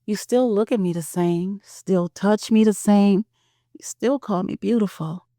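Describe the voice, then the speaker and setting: A woman voicing a hurt, insecure middle-aged wife in an audio drama, soft-spoken, hesitant and weary. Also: medium pitch, unhurried, dry recording, clean studio sound, voice pushed up, close to an everyday voice. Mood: tearful, quiet.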